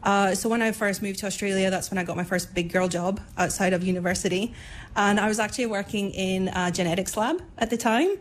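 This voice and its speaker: A woman's voice: medium pitch, steady tone, fairly quick, slight background noise. A woman in her thirties with a Scottish accent, speaking informally in an interview as a police forensic officer. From Scotland. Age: 30s.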